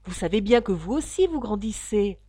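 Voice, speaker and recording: A woman voicing a mild, sensible, curious young girl with a gentle, matter-of-fact manner. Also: mid-range voice, animated, normal speed, husky, no echo, studio quality. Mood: bolder.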